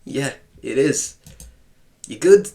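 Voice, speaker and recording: A gamer guy in casual play-through commentary, mid-pitched and chatty. He pokes fun at the story and answers the characters with dry, sarcastic jokes. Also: animated, slow, creaky, mellow. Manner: sarcastic, joking asides to the game.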